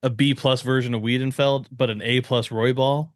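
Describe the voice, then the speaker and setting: A man's voice: medium pitch, steady tone, normal speed, no room sound, clean studio sound. A man co-hosting a podcast, talking sport in a casual, slangy and agreeable conversational way. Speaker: podcast co-host. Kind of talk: casual sports chat and analysis.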